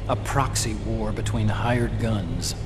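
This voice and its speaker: An actor playing a serious, matter-of-fact military briefer in a video game, explaining in a measured way. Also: male voice, lowish voice, over loud music. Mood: serious, explanatory. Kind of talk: dramatic video game cutscene acting.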